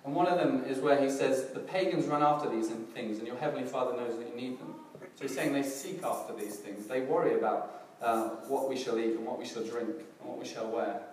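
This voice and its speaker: A middle-aged man gives a laid-back sermon, his voice a little hoarse and crackly. He talks in a self-deprecating way, full of pauses and restarts, at an everyday pitch. He is picked up from a distance in a very echoey hall with background noise. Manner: relaxed, self-deprecating, hesitant with fillers and restarts. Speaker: preacher. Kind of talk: casual church sermon. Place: church hall, in front of a congregation.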